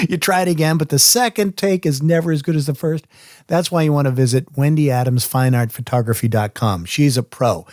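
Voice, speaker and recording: A man's voice: medium pitch, dry recording, studio quality. A man hosting a podcast, chatty and upbeat, talking about voice and recording. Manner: upbeat, chatty, spells out names slowly. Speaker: podcast host. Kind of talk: casual podcast interview. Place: recording studio.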